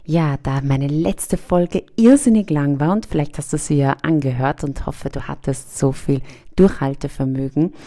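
Woman, young adult, low voice, mellow dark tone, earnest podcast pep talk to the listener. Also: moderate pace. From Iran, raised in Austria. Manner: earnest, speaks directly to the listener. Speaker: podcast host. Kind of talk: motivational podcast monologue.

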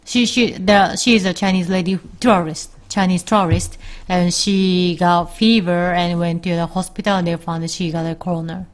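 Japanese-accented woman chatting haltingly. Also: lowish voice, fairly even intonation. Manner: halting, searches for English words. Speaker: co-host. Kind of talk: casual chat. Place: home in Japan.

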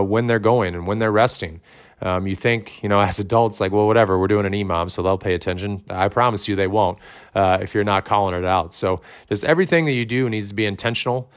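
Loud, direct man coaching. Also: lowish voice, smooth. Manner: loud, direct, with many ums and restarts. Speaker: fitness coach and podcast host. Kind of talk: casual podcast advice monologue.

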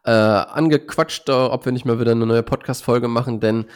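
A man's voice: medium pitch, fairly even intonation, moderate pace, clear, resonant tone. A man hosting a casual podcast interview, a bit sick and slightly nasal, talking with many hesitant fillers. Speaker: podcast host.

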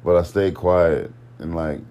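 A man's voice: low voice, steady tone, a little slow, dark tone, clear, resonant tone, lo-fi sound. A man co-hosting a podcast in casual chat, laid-back and terse, saying little and explaining less. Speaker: podcast co-host.

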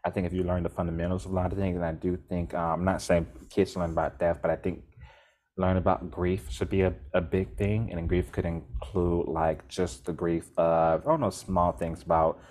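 A man's voice: low-pitched, normal speed. A man co-hosting a podcast, talking casually in a rambling way with bursts of energy. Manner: rambling, restarts often, animated bursts. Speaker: podcast co-host.

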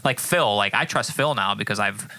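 A laid-back man co-hosting a podcast, talking loosely with plenty of fillers and casual swearing. His voice is gravelly with a bright, forward edge. The sound is dry and close. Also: on the low side, moderate pace, no echo, poor audio. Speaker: podcast co-host and musician. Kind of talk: casual chat.

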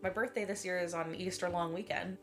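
A woman's voice: on the low side, steady tone, brisk, a bit bright, faint music behind. A Canadian woman in her late twenties, co-hosting a podcast in a casual, rambling chat, with a stuffy, sniffly nose. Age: late 20s. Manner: chatty, rambling, laughs often. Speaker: podcast co-host.

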